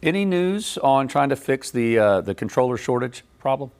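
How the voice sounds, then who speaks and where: Deep-voiced, lively woman news anchor. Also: normal speed. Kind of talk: broadcast news introduction and studio chat.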